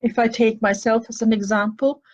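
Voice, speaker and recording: A woman's voice: fairly high pitch, level tone, normal speed, a little mellow, highs cut off. An older woman from with a slight cough, hosting a show and answering listener questions in a thoughtful, hesitant way with many fillers. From Finland. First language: Finnish and Swedish. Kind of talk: advice talk answering questions.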